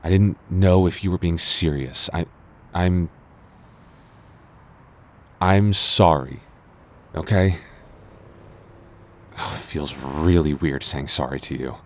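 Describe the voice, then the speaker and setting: A man playing a stubborn young guy with a low, deep voice, confessing to his childhood friend in a romantic audio roleplay. He is earnest and regretful, stumbling and sighing through his words. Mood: apologetic, wistful. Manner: halting, stammering, sighing, earnest. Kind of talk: intimate boyfriend roleplay audio drama.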